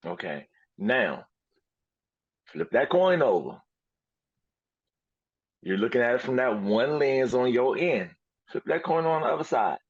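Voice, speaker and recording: A man's voice: medium pitch, very animated, a little slow, pauses often, slightly rough, no echo, lo-fi sound. A man hosting a podcast who talks emphatically and repeats phrases to drive his point home. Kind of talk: podcast conversation.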